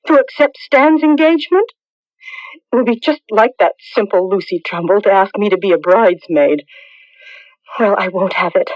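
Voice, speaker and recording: A woman's voice: medium pitch, normal speed, no room sound, natural voice. An actress playing a scorned, bitter young woman with brooding, theatrical intensity in a radio drama. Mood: bitter, defiant. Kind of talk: old-time radio drama acting. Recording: dull radio recording with music behind.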